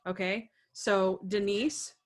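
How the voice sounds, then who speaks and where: A woman from Texas with a Texan accent co-hosting a casual podcast chat, upbeat and quick to laugh. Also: medium pitch, steady tone. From Texas, USA. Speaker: podcast co-host.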